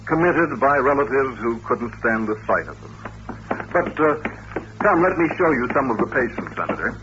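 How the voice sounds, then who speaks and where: An actor playing a courteous, patient doctor who runs an institution, explaining things politely and with measure in an old-time radio drama. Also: male voice, mid-range voice, sing-song, normal speed, close to an everyday voice. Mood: earnest, explanatory. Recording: dull old radio recording, faint hiss.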